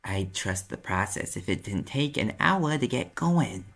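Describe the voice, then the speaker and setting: A man voicing a sarcastic demon private eye in an audio roleplay, with a New York accent and a gravelly, husky voice. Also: on the low side, lively intonation, unhurried, a bit bright, heavily acted. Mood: sarcastic banter. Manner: sardonic, casual, swearing, defensive. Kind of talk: audio roleplay acting, conversational.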